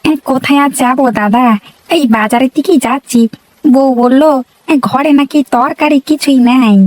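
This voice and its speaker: A woman's voice: high-pitched, moderate pace, a little mellow, no echo, close to an everyday voice. A woman voicing a chatty, food-loving young village husband in a cartoon, full of exclamations. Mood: friendly chatting. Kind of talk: cartoon voice acting.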